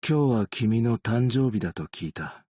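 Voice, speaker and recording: A man voicing a weary, gentle, long-lived optician in a video game, measured, understated and quietly melancholic. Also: medium pitch, lively intonation, breathy edge, no room sound, studio quality. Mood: calm, dry. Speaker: video game character voice actor. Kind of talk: scripted game character voice lines.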